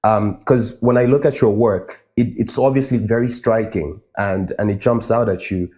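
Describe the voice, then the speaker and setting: A man from Zambia hosting an interview, asking measured, thoughtful questions. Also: fairly low pitch, steady tone, mellow. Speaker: interviewer, host. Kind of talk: conversational interview.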